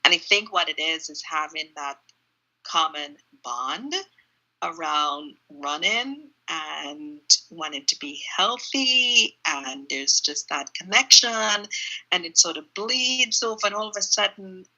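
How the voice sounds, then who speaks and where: Middle-aged woman, guest on a phone call; sharp, bright voice, thoughtful and searching for words. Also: sits low, expressive, slow. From Trinidad. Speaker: interview guest, runner. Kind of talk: casual reflective interview answers. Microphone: phone held in hand on a video call.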